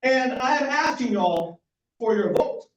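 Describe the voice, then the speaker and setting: High-voiced man firing up a crowd. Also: unhurried, noisy background. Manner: energetic, polls the crowd with rhetorical questions. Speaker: political candidate, physician. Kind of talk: campaign speech at a forum. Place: community meeting room. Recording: echoey, distant and dull room recording.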